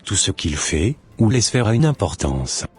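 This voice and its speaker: Man doing a cartoon doctor, middle-aged, preachy, deep, husky, soft background music. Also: moderate pace, heavily acted. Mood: lecturing. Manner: calm, lecturing and preachy. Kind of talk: amateur cartoon voice acting.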